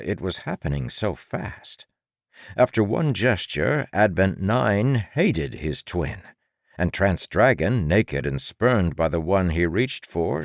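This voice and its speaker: A man narrating fiction for an audiobook in a dramatic, measured manner. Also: low-pitched, vocal fry, dry recording, studio quality.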